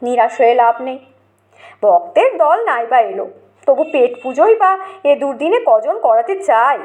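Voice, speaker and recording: A woman storyteller reading a comic short story in an expressive, dramatized way. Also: sits high, moderate pace. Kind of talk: scripted comic story narration.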